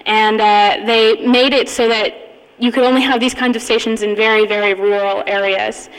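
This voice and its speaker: A woman giving an informal talk, telling a personal story in a halting, amused manner. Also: a little high, steady tone, fairly bright tone. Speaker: conference speaker, radio hacker community member. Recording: echoey hall with long reverb.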